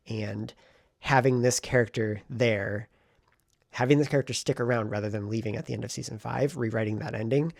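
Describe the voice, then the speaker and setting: A man talking in a casual vlog style, thinking aloud in an informal, meandering way, with a husky voice. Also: medium pitch, dry recording, studio quality. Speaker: vlogger and fiction writer. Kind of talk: casual rambling vlog talk.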